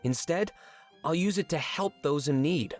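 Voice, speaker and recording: An actor voicing an earnest, slightly nervous furry swindler-writer who confides hesitantly in a visual novel game. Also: male voice, on the high side, moderate pace, faint music behind, close to an everyday voice. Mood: confiding, sincere.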